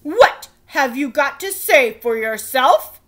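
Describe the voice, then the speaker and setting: A woman voicing a blustering old king, with a loud, drawn-out booming delivery. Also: very animated, heavily acted. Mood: demanding.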